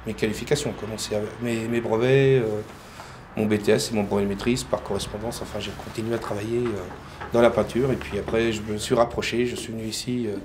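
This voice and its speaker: A man's voice: on the low side, little pitch movement, fairly quick, noisy background. A man from France, a painter and decorator, answering interview questions hesitantly with many fillers.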